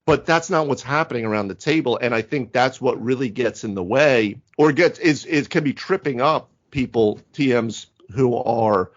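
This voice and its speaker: A man co-hosting a gaming podcast, talking casually and thinking aloud in an animated, conversational way. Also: medium pitch, normal speed, few pauses, lo-fi sound. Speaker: podcast co-host. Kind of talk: casual round-table chat about tabletop gaming.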